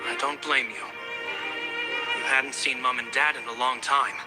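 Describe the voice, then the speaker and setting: A man voicing a dutiful, composed young military officer in a video game, speaking in a measured, polite way. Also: mid-range voice, steady tone, close to an everyday voice. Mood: gently understanding. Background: background music.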